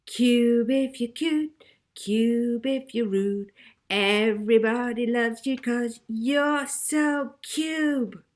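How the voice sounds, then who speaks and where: A woman's voice: on the high side, very slow, voice pushed up. A woman voicing smug, catchy television advert characters in a sing-song, persuasive manner.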